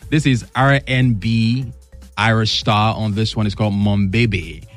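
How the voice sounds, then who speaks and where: A man's voice: on the low side, fairly even intonation, measured pace, faint music behind. A young man, co-hosting a radio show and joking around with his partner. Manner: jokey, mock-threatening, laughs a lot. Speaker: radio co-host.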